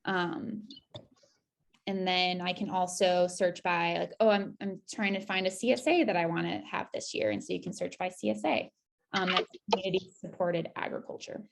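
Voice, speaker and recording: Woman doing a casual screen share demo, lowish voice w lots of vocal fry, chatty informal explaining. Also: fairly even intonation, moderate pace. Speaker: presenter giving a website demo.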